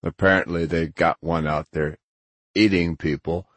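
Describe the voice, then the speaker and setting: Man voicing a rumbling, gruff, stocky hacker guy. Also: steady tone, normal speed, no echo. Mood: sober. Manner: blunt, profane, needling. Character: tall stocky gruff sardonic hacker friend, male, middle-aged.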